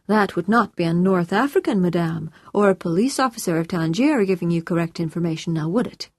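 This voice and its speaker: A woman voicing a composed, courteous man in an audiobook, with a calm, measured and reassuring manner. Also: lowish voice, dry recording, clean studio sound.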